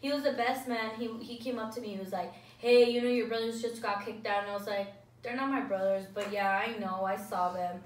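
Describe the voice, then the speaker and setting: A woman's voice: mid-range voice, steady tone, brisk, far from the mic, a little hiss or hum. A young woman vlogger telling a story casually, rambling and saying "like" constantly. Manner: rambling, fills speech with "like". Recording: echoey room, voice off-mic.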